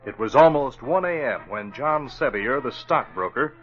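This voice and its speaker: A man with an American accent and a husky voice, giving dramatic scripted narration for a 1940s radio serial. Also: medium pitch, animated, moderate pace, music in the background, close to an everyday voice. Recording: muffled old radio recording.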